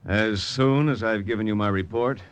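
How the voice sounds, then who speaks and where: An actor playing a steadfast American intelligence lieutenant in old radio drama style, measured and stoic, with an American accent. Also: male voice, fairly low pitch, clear, resonant tone, lightly acted. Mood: firm, resolute. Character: steadfast American male OSS lieutenant, resolute and self-sacrificing. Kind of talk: 1940s-50s radio acting, first-person narration and dialogue. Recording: old-time radio recording with music behind.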